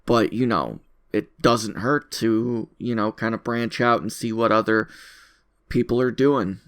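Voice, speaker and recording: A man's voice: fairly low pitch, measured pace, fairly bright tone, clean tone. A man talking casually in an unscripted audio log, rambling in a self-deprecating way and repeating his phrases. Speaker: content creator recording an audio log.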